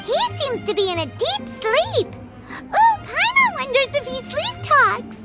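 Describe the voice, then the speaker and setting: A woman voicing a small, bubbly, cheeky childlike fairy companion in a video game, squeaky and self-important. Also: big pitch swings, a little slow, loud music behind the voice, heavily acted. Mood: curious, warm. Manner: speaks of herself in the third person. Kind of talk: video game character acting.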